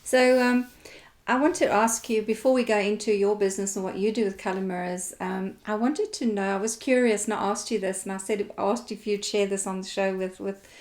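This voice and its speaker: This is a South African woman with a mid-pitched voice, hosting a live chat show. She talks informally and effusively, encouraging her guest and greeting listeners as she goes. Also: fairly even intonation, fairly quick, a little mellow. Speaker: talk show host.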